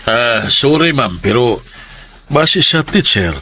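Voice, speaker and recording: An actor playing a placating, apologetic school principal in a radio drama, speaking in an official manner. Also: male voice, mid-range voice, animated, slow, over loud music.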